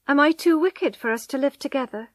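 A woman's voice: high voice, a little fast, dry recording, clean studio sound, voice pushed up, lightly acted. A woman voicing a distraught, devoted young wife in a dramatic story, pleading and tearful. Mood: helpless, submissive. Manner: pleading, breathy whispers rising to anguished cries.

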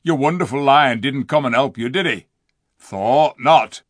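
A man voicing a surly, gruff male dwarf who scolds and sneers loudly in a storybook reading. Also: mid-range voice, a little slow, dry recording, studio quality, voice pushed up, natural voice. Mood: angry scorn.

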